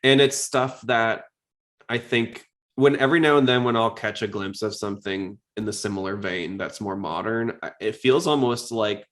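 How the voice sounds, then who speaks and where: A man with a fairly low-pitched voice hosts a casual podcast. He talks in an informal, conversational style, as in a relaxed discussion between fans. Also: normal speed. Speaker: podcast host. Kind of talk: casual podcast chat about anime.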